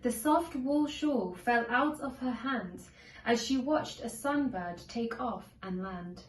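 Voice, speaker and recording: The voice comes from a little way off in an echoey room. It is a woman with a fairly high pitch, reading a children's story aloud. Her delivery is deliberate and measured, shaped for read-along listening. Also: lively intonation. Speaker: storybook narrator. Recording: echoey room, distant from microphone.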